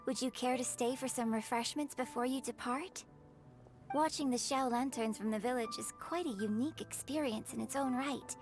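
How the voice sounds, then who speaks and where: A woman's voice: high-pitched, moderate pace, soft background music. A woman voicing a poised, gracious young opera performer in a video game, speaking politely with refined, composed phrasing. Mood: gracious, pleased.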